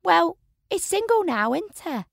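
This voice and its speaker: A woman's voice: very high voice, very animated, measured pace, vocal fry, no echo, clean studio sound, pitched above the performer's own voice, full character voice. A woman voicing a teasing, gossipy teenage girl. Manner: chatty, knowing, teasing.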